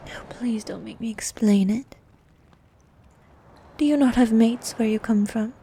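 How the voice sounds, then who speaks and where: A woman's performance of an ancient sea queen, higher-pitched, unhurried, soft half-whisper, regal, bossy, ASMR roleplay. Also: a little hiss or hum. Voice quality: soft, breathy, partly whispered. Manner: regal, condescending, formal, unhurried. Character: haughty, bossy ancient female sea queen, jellyfish monster.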